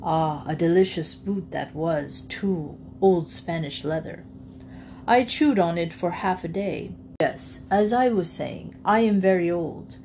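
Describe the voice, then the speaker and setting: A woman voicing an ancient male alligator, pompous, drawling and calmly menacing in a grandly polite way. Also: fairly low pitch, unhurried, a little hiss or hum. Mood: smug, menacing.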